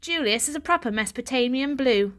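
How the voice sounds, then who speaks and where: A proud, stern father cat with a gruff, disapproving manner, read by a woman in a storybook. His voice sits high and carries. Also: animated, fairly quick, fairly bright tone.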